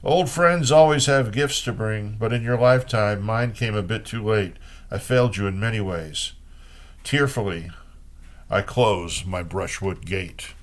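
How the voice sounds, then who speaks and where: A man reading poems aloud as host, in an unhurried way with pauses between short phrases. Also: fairly low pitch. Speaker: poetry reader and host. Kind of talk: poetry reading with brief spoken commentary.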